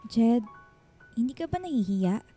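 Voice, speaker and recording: A fairly high-voiced Filipino woman in a radio romance. She is a guarded single mother, chatty and teasing yet unsure of herself, with soft music behind her. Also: big pitch swings, measured pace. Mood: troubled, self-conscious. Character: guarded, self-conscious single mother, playful with her old flame. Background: background music.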